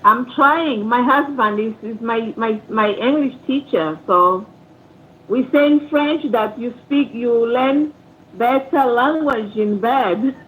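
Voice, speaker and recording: A woman with a Mozambican accent calling into a livestream, a native Portuguese speaker choosing her English words carefully. Her voice sits high and her pace is very slow and unhurried. Faint noise sits behind her. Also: fairly bright tone, poor audio. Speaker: caller. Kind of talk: call-in conversation.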